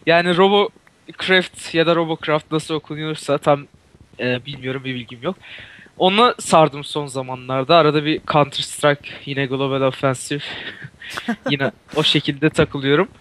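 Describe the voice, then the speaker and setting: A man's voice: fairly high pitch, fairly even intonation, unhurried, a bit bright, rough recording. A man chatting casually as a guest in a gaming video, in a hesitant, stumbling way that often corrects itself. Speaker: friend and guest co-commentator. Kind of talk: casual chat during a game.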